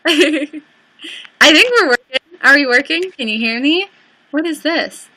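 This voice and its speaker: A woman's voice: sits high, lively intonation, a little slow, sharp tone, no room sound. A woman hosting a casual livestream, often laughing nervously and apologizing while fixing a call. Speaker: livestream host.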